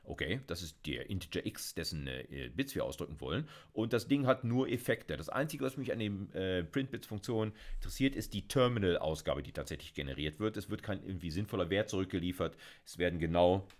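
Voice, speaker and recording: A man's voice: low voice, lively intonation, brisk, few pauses. A middle-aged man giving a recorded university lecture on programming, talking through slides in a chatty way with many fillers. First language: German. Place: small dark room at a desk.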